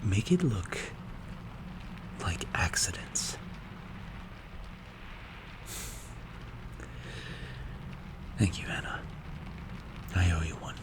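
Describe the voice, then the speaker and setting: A man playing a strict, calculating mafia boss in an ASMR roleplay, speaking in a hushed, breathy, measured and menacing way. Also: low-pitched, very animated, noisy background, exaggerated voice. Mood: cold, commanding. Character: strict, cold, calculating male mafia boss mentoring a protégé.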